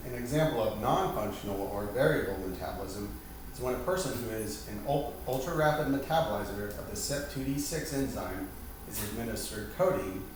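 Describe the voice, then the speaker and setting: A man giving a scripted, formal lesson as an instructor, stumbling now and then over technical terms. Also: fairly low pitch. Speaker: instructor leading a staged class. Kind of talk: scripted lesson presentation, reading aloud. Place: reverberant indoor room, distant microphone. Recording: very echoey room, far mic, loud background hiss.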